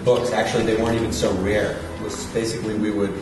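A man in his thirties, a bookstore owner, answering interview questions in a relaxed, plain-spoken way inside a gallery bookstore. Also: on the low side, fast, far from the mic, over music. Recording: roomy, off-mic with background noise.

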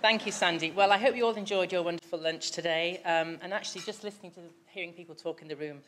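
Measured, low-voiced middle-aged woman giving a formal speech. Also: noisy background. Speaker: event chair. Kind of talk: prepared speech. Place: large banquet hall at a lectern. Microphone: lectern microphone.

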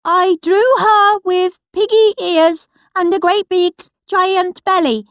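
A woman voicing an earnest, goody-goody little boy who recites loudly and carefully in a children's audiobook. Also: very high voice, fairly even intonation, takes it slowly, dry recording, clean studio sound, voice pushed up, full character voice. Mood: proud, reciting. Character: earnest goody-goody little boy reading his diary aloud.

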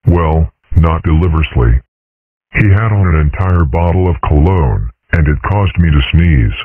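Man voicing a very deep, husky dragon father. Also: lively intonation, no echo, phone-quality sound, exaggerated voice. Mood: calm, dignified. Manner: formal, measured, understated. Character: polite, reasonable seven-foot dragon father, dry and dignified. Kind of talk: comic strip dub.

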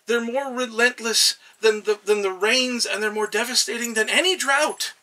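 A man voicing a small, devoted male shrine god who talks in an anxious, excitable, affectionate way. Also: very high-pitched, normal speed, pitched above the performer's own voice. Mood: urgent.

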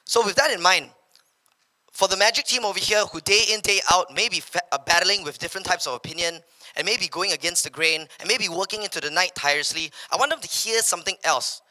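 A young Malaysian man with a Malaysian English accent, giving an energetic motivational talk on stage in a large hall through a handheld mic. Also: high-pitched, a little fast. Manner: energetic, paces the stage, slips into local slang when quoting others. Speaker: keynote speaker. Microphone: handheld microphone.

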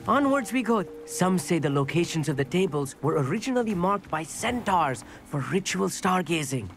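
A bookish teenage boy in a fantasy game, eager and a bit nervous. His delivery swings in pitch, chatty yet formal and earnest, with music playing underneath. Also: very animated, moderate pace. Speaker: companion character in a fantasy video game. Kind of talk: scripted game dialogue.

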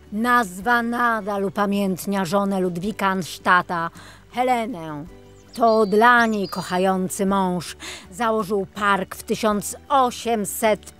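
A woman's voice: mid-range voice, fairly bright tone. A woman reading scripted narration for a children's story as its narrator. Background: music.

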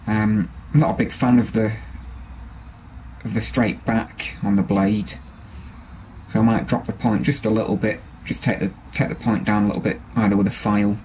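A man with a low, flat voice talks through a knife he's holding, in a relaxed, halting way full of ums and restarts. He's close to the camera in a workshop, with a faint hiss underneath. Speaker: bushcraft hobbyist showing a knife. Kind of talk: casual show-and-tell. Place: workshop with a grinder and workbench.

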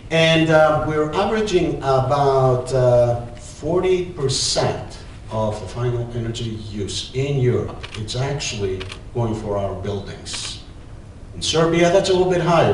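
A middle-aged man with a Greek accent giving a conference talk at a lectern, often pausing with "uh". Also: medium pitch. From Greece. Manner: hesitant, many filler "uh"s. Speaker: conference presenter. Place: large lecture hall, at a lectern. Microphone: lectern mic, filmed by a far camera. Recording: very echoey hall, distant mic, faint hiss.

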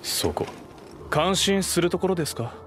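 An actor playing a haughty, sardonic young officer in a video game, politely condescending. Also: male voice, high voice, lively intonation, normal speed. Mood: disdainful. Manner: smug, polite but condescending. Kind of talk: dramatic Japanese video game cutscene acting. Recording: studio voice over loud game music.

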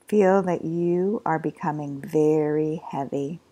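Slow, soft-spoken woman guiding meditation. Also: low-pitched. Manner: slow, soft instructions with drawn-out pauses. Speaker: yoga nidra teacher. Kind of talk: guided meditation.

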